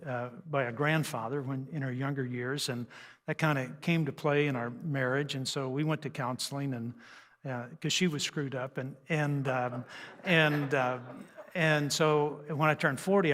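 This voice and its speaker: A man's voice: medium pitch, fairly bright tone. An older man giving a folksy, joking, conversational talk on family life to a live audience, poking fun at himself. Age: 60s or older. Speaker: speaker on raising teens, radio host. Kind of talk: humorous seminar talk to a church audience. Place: large church hall, speaking to an audience. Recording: live hall with long reverb.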